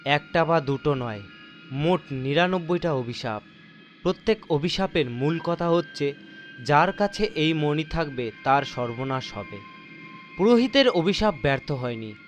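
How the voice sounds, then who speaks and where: A man reading a horror story as narrator, with deliberate, theatrical, suspenseful delivery. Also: on the high side, animated, slight background noise.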